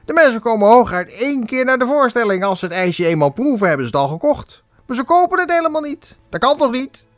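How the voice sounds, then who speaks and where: A man voicing a blustering male circus managing director who shouts indignantly. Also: very high-pitched, sing-song, fast, few pauses, dry recording, soft background music, voice pushed up.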